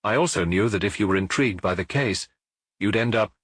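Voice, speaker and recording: A man's voice: lowish voice, brisk, a bit bright, dry recording. A man voicing a jovial, talkative middle-aged police chief superintendent in an audiobook. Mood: businesslike. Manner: hearty, talkative, reporting.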